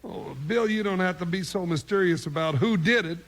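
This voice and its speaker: A man's voice: high voice, close to an everyday voice. A man playing a big, folksy, blustering comic cowboy sidekick in a 1950s radio western. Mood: chatty. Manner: folksy, blustering, drawn-out exclamations. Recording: noisy old 1950s radio recording.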